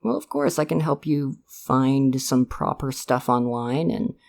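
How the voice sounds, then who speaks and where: Male actor as protective father, ASMR roleplay, medium-pitched, gravelly creak, hesitant, earnest, reassuring. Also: big pitch swings, a little slow, darkish tone. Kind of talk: ASMR roleplay, one-sided conversation with listener.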